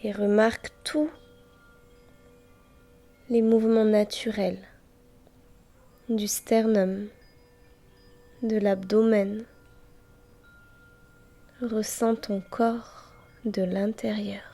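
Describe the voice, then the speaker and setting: A woman guiding a yoga nidra meditation in a soft, breathy, near-whispering voice, gently and unhurriedly, with long pauses between short phrases. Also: mid-range voice, level tone. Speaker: guided meditation teacher and host. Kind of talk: guided yoga nidra meditation, slow and soothing. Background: soft music with chimes.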